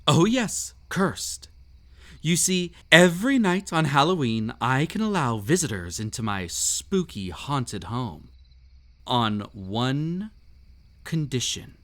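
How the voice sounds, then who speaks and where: A man playing the whimsical, mysterious and sinister host of a haunted estate in a scripted audio drama, teasing and theatrical with drawn-out phrasing. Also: mid-range voice, big pitch swings, fairly bright tone. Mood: ominous teasing.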